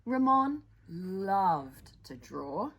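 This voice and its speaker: A woman's voice: mid-range voice, sing-song, extremely slow, a bit bright, slightly rough. A woman presenting storytime, reading picture books in a playful, theatrical way with chatty asides to young listeners. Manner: playful, theatrical, with dramatic emphasis.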